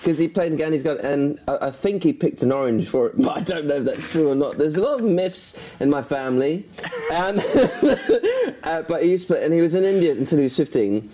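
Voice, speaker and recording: A man's voice: on the high side, very animated, fairly quick, dark tone, slight background noise. A man who is a comedian, chatting casually, rambling with many restarts and bursts of laughter. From Northern Ireland. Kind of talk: casual chat.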